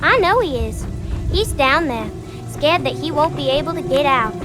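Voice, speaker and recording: A woman's voice: high voice, lively intonation, normal speed, over music. A woman playing a bitter, accusing young ghost girl in a video game, with a cool, pointed manner. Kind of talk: naturalistic video game acting.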